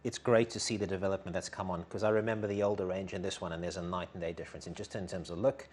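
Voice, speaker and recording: A man's voice: low voice, fairly even intonation, rapid-fire, a little mellow. A man in his thirties chatting casually and commenting as co-host of a product demonstration. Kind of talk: casual chat, reacting and commenting. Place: indoor tool workshop showroom.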